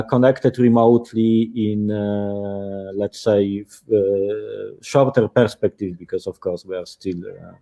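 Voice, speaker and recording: Slow, mellow-voiced man on a call. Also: medium pitch. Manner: hesitant, many fillers and restarts. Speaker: artist. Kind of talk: online meeting discussion. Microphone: video call.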